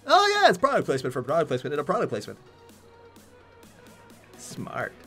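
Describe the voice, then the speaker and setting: Animated, high-pitched young man reacting. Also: fairly quick, faint music behind. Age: young adult. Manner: amused, exclamatory, laughs through words. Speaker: reaction video host. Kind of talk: casual reaction chat. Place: furnished room at home, on a couch.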